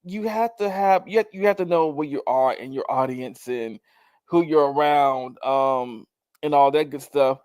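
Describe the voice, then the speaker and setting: Co-host, male, higher-pitched, lively and emphatic, dry close mic. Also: smooth, no room sound. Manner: animated, emphatic, quick to laugh. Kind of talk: casual chat.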